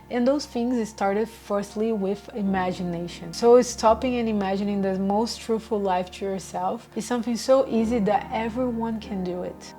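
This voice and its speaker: Mellow-voiced woman vlogging gently. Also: mid-range voice. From Brazil. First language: Portuguese. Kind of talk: casual reflective monologue.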